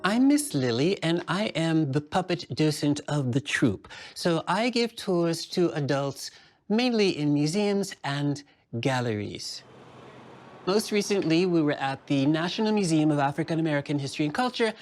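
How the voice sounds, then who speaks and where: Genteel, high-pitched lady puppet docent played by a man. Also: animated, moderate pace, natural voice. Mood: poised, proud. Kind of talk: in-character puppet monologue.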